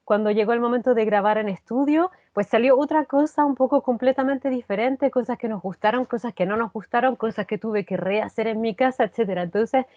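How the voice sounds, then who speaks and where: A woman's voice: on the high side, fairly quick, few pauses, no echo, phone-quality sound. A woman answering as an interviewed actress, reflective and searching, repeating phrases as she explains. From Chile.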